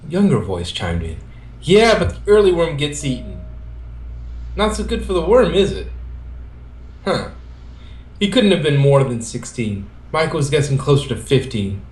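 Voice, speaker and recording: Lively man reading aloud in an echoey room. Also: fairly high pitch, measured pace. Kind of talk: reading fan fiction aloud, expressive.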